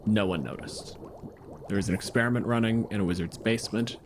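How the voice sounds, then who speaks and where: A man's voice: lowish voice, fairly quick, noisy background. A man narrating a fantasy tabletop game as improvised dramatic storytelling, in clipped, suspenseful phrases. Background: music with guitar.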